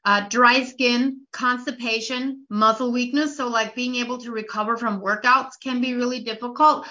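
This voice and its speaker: A woman's voice: a little high, pushed and bright. A young woman hosting a podcast, talking casually and personally, with frequent "like" fillers. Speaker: podcast host. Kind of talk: casual solo podcast talk. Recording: slightly dull lo-fi audio.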